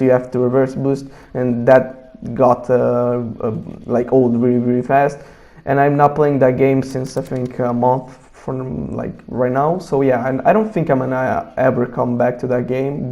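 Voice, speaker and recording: Man, Italian accent, young adult, non-native English, mellow tone, hesitant, lots of fillers, blunt gaming commentary. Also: medium pitch. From Italy. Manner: hesitant, many fillers and restarts, blunt opinions. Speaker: gaming YouTuber. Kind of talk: casual commentary over gameplay.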